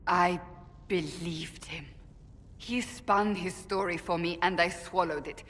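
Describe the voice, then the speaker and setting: A stern warrior woman from a fantasy game, grave and burdened with regret. Her voice sits on the low side and rings in a long reverb over a noisy rumble. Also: takes it slowly, a bit bright. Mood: bitter regret. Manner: earnest, grave, self-reproaching. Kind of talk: dramatic video game cutscene acting.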